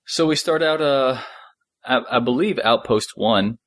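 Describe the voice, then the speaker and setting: A man co-hosting a fan podcast, recapping an episode in casual, loose and halting talk. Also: medium pitch, a bit bright, dry recording.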